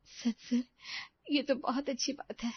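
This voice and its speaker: A woman's voice: high voice, steady tone, a little slow, breathy edge, no room sound, lo-fi sound, voice pushed up, heavily acted. A woman voicing a caring, trusting married elder sister in a cartoon story, speaking affectionately. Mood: encouraging.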